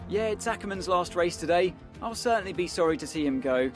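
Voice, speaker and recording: A man's voice: sits high, animated, a little fast, music in the background. A man as a conversational co-commentator on racing in a video game, giving opinions in broadcast style. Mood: chatty. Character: conversational male motorsport pundit. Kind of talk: broadcast race punditry.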